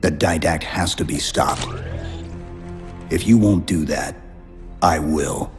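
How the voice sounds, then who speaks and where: Stoic male super-soldier: extremely low voice, terse, deadpan, game drama, long reverb. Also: slow. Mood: firm. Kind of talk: dramatic video game cutscene acting. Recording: long reverb, music underneath.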